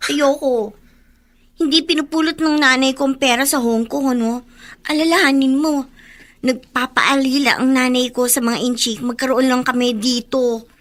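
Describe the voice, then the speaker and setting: Woman voicing a high-voiced dutiful teen daughter. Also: fairly even intonation, moderate pace, close to an everyday voice. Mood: firm. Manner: earnest, insistent. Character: dutiful teenage daughter, thrifty and earnest. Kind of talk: radio drama acting.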